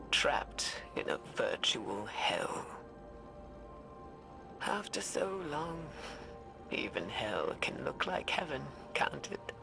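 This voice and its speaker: An actress playing a weary, guilt-ridden old woman scientist recording a log in a frail, breathy voice for a video game. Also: extremely low pitch, heavily acted. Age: older. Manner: slow, weary, solemn. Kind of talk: dramatic recorded log monologue. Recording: music behind the voice.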